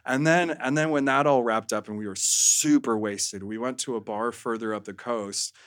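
A man speaking as a podcast guest, telling candid stories in a casual, halting way with lots of "like". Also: medium pitch, fairly bright tone.

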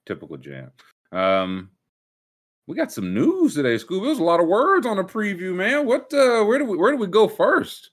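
A man hosting a casual sports podcast, talking in a conversational, halting way. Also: high-pitched, huge pitch swings. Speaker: show host.

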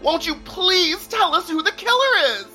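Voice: very high voice, very animated, unhurried, pushed and bright, heavily acted. An actor playing an earnest, melodramatic drag queen contestant in a campy comedic radio play, speaking with breathless theatrical sincerity. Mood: melodramatic shock. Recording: music behind the voice.

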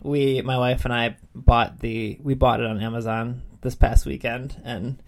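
A man's voice: medium pitch, little pitch movement, measured pace. A man co-hosting a podcast in a casual, chatty, self-deprecating way about books. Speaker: podcast co-host. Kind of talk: casual book chat.

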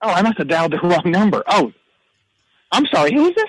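An actor playing a brash, combative caller who rambles about rock music and picks fights. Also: male voice, high voice, big pitch swings, quick pace, rough recording. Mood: chummy. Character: brash hotheaded man obsessed with rock. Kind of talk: comedic character phone call.

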